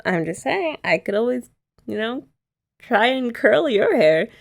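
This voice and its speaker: A woman's voice: a little high, very animated, unhurried, creaky. A young woman doing girlfriend roleplay ASMR, chatty and teasing with rambling asides. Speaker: ASMR creator.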